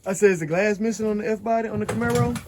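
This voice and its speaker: A man's voice: sits high, fairly even intonation, quick pace, darkish tone. A man with an American accent hosting a vlog, chatting casually in informal, slangy speech. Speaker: host filming the vlog. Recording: faint background noise, live garage sound.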